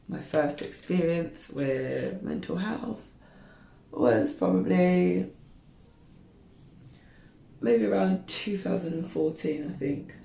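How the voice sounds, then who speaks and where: A woman's voice: deep voice, gravelly, dark tone, reverberant, poor audio. A woman in her thirties chatting candidly to camera while straightening her hair, rambling with lots of fillers. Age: 30s. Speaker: beauty vlogger.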